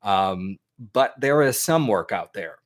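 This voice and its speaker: A man's voice: lowish voice, lively intonation, takes it slowly. An American man, a native English speaker, hosting an informal advice talk to a live chat, with plenty of ums and restarts. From United States. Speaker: host.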